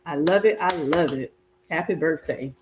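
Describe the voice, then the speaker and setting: A middle-aged woman chatting casually as she cooks, her voice slightly raspy as it recovers. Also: low voice, normal speed, reverberant, slight background noise, poor audio. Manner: chatty, warm greetings and blessings to viewers. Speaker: health coach and vlogger. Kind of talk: casual cooking vlog chat. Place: home kitchen.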